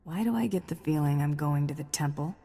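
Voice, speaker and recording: Tough female bounty hunter, deep husky voice, terse, deadpan, confident game acting, long reverb. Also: brisk, faint music behind. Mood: dry challenge.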